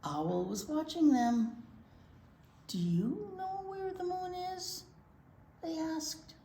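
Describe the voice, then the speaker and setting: A woman reading a picture book to young children in an expressive, chatty way, often asking them questions. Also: very high-pitched, slow. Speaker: children's library teacher reading aloud. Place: indoor room at a library. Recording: roomy echo, noticeable background noise.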